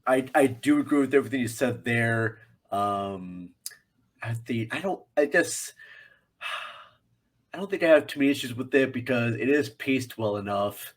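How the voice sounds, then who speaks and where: A man with a mid-range voice talks casually as a guest reviewer on a film show. His delivery is halting, as if he is working out each opinion while he speaks. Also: medium pitch, expressive.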